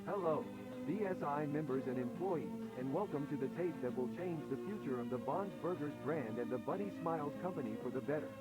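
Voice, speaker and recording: A man's voice: a little high, lively intonation, brisk, few pauses, natural voice, poor audio. A man playing a cheerful corporate training tape announcer, formal and presentational in a scripted promotional horror video. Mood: upbeat, formal. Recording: loud music behind. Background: loud music.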